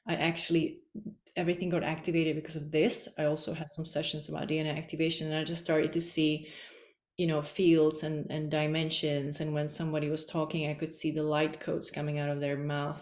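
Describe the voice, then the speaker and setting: Woman from the Czech Republic, podcast guest; low, even delivery, chatty and meandering, echoey room. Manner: rambling, many "like" fillers. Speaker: podcast guest, energy healer. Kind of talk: casual interview chat.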